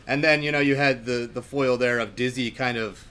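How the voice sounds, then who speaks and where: A man talking casually and enthusiastically in a film discussion, in a halting, rambling way. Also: medium pitch, steady tone, fairly bright tone, smooth. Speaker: podcast panelist.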